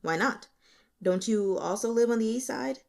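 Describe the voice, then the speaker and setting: A woman's voice: fairly high pitch, moderate pace, creaky. A woman voicing a blunt, stern male police investigator in an audiobook, pressing with pointed questions. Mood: sharp, pressing. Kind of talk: audiobook character dialogue.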